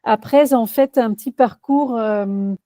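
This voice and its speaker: A woman's voice: on the high side, a little slow, dark tone, no room sound, clean studio sound. A woman in her forties from the Netherlands with a Dutch accent, answering interview questions as a career coach, hesitant with many fillers and restarts.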